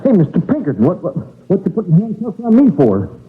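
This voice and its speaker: A jittery male crook from an old radio play, defensive and stammering. His voice sits high and swings widely, squeezed through a tinny, phone-like recording. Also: wildly swooping pitch, fast, a little hiss or hum. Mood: nervous. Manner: stammering, indignant. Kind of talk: radio mystery drama acting.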